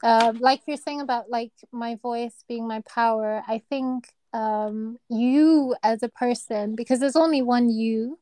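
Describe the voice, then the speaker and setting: A woman in her thirties from Kenya with a soft voice, chatting casually as an interview guest, laughing often and searching for words. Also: fairly high pitch, fairly even intonation, a little slow, hardly any pauses, no room sound. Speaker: guest, neurosurgery registrar.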